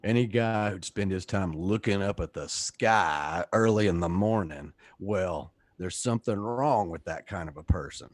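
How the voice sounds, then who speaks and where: Man playing accusatory male neighbor, folksy, bullying, radio play, lowish voice, dry recording. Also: measured pace, few pauses, no echo. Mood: suspicious.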